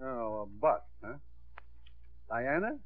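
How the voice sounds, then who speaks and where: A man's voice: mid-range voice, sing-song, slow pace. An actor playing a blunt, nosy old grandfather with a dry, needling manner in a 1950s radio drama. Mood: wry. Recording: narrow, tinny 1950s radio broadcast.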